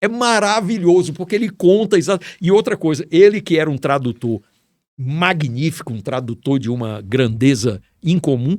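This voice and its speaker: A man's voice: fairly high pitch, moderate pace, a little mellow, no echo. A middle-aged man from Ceará, Brazil, a writer telling stories with enthusiasm and admiration as a guest in an interview. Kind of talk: storytelling in a casual interview.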